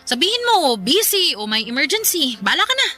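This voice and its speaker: A woman's voice: high-pitched, big pitch swings, brisk, pushed and bright, heavily acted. A woman playing a career-driven, defensive girlfriend in a radio drama, insistent and dismissive. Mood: irritated. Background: faint music.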